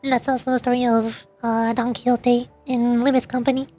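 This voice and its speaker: A woman's voice: fairly high pitch, level tone, fairly quick, dark tone. A young woman streamer chatting casually about games, rambling with many fillers. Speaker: vtuber streamer. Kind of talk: casual game commentary. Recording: faint music behind.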